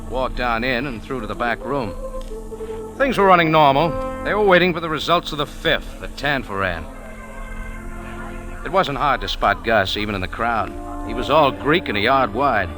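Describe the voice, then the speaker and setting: A hardboiled, wry man of medium pitch played by a male actor tells and acts out a detective story. He is tough and dry, in classic radio drama style. It is a vintage broadcast recording with prominent music. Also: natural voice, poor audio. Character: tough, wry male cafe owner turned amateur sleuth. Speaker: narrator and lead actor. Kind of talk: old-time radio detective drama, first-person narration and dialogue.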